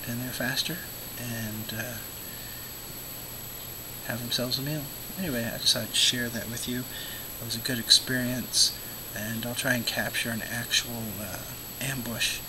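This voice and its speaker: Hoarse, scratchy-voiced man talking casually. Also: mid-range voice. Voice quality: scratchy, often dropping to a whisper. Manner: relaxed, rambling, many fillers. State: hoarse, losing his voice from illness. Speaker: aquarium vlogger, show host. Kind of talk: casual talk to camera.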